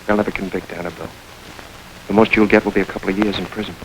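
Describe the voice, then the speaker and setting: An actor playing Gene, a world-weary middle-aged crook, in a 1940s radio drama, clipped and sardonic. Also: male voice, deep voice, steady tone, lightly acted, low-quality recording. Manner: clipped, sardonic, quick delivery. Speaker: Gene, a criminal facing the chair. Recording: hissy old radio recording.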